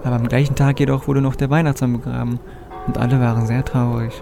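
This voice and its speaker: A man's voice: medium pitch, flat delivery, fast talker, vocal fry, music in the background. A man telling a playful scripted Christmas story.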